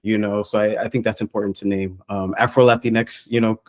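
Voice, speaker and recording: Lively guy in his 30s, laughs a lot, casual chat, kinda low voice. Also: brisk. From Costa Rica, raised in Southern California. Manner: upbeat, lively, laughs often. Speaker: podcast guest and consultant.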